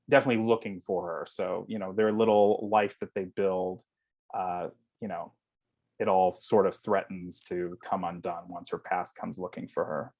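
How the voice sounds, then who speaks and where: A man's voice: on the low side, darkish tone. A man giving casual, explanatory interview answers as a guest on a video call. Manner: conversational, explanatory. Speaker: guest, writer-director.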